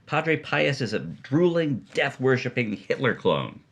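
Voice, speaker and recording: A man co-narrating a story in an animated, emphatic, playful scripted style. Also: medium pitch, a little slow. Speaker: podcast co-storyteller.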